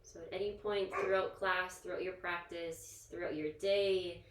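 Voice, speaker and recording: A woman yoga instructor leading a guided relaxation in a soothing, gentle, informal manner, leaving pauses between instructions. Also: lowish voice, little pitch movement. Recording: echoey, roomy sound.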